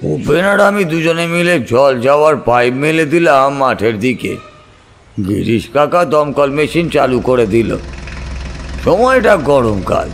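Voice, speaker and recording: A man's voice: mid-range voice, animated, a little slow. A man reading a scripted audio story as its narrator. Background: soft background music.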